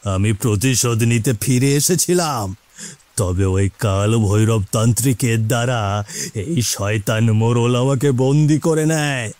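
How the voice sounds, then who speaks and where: A man voicing a vengeful ghoul, a murdered village shopkeeper, in a grave, dramatic, halting cartoon delivery. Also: mid-range voice, darkish tone, natural voice. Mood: bitter, brooding. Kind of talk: Bengali animated moral-story acting. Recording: faint music behind.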